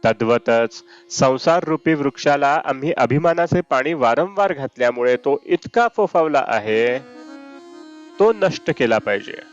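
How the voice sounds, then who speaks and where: A man reads a spiritual discourse aloud in a measured, deliberate way. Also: mid-range voice, animated, highs cut off. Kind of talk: read-aloud devotional discourse. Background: Indian classical raga music with sitar.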